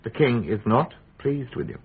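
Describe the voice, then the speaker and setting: An actor playing a silky Tudor royal secretary in a radio drama, British, falsely cordial, probing and precise. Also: male voice, mid-range voice, very animated, normal speed, creaky, breathy edge, exaggerated voice. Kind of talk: period radio drama acting. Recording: muffled phone-like radio drama.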